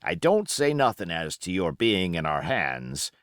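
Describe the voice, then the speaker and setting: A man's voice: deep voice, sing-song, unhurried, a bit bright, clear, resonant tone, no room sound, studio quality, exaggerated voice. A man voicing a wily old pirate captain, genial yet menacing, in folksy sailor talk for an audiobook. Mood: genial menace. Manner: folksy sailor dialect, cajoling, sly. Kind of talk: audiobook character acting.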